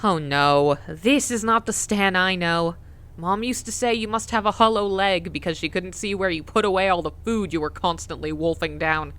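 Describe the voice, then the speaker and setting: A woman's voice: lowish voice, normal speed, fairly bright tone, close to an everyday voice. A woman playing a caring, bossy, teasing sister and mother in a scripted comic dub. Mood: fussing. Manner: fussing, playful, insistent.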